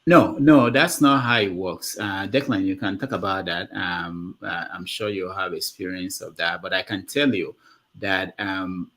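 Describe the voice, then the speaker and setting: A man's voice: sits low, a little slow, fairly bright tone. A man hosting a panel discussion, explaining things point by point in an emphatic way. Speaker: show host.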